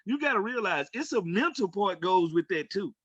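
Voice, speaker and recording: A man's voice: high voice, animated, normal speed, a bit bright, slightly rough, no echo, dull audio. A man co-hosting sports talk, giving opinions in an emphatic, colloquial, storytelling way. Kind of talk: opinionated casual sports commentary.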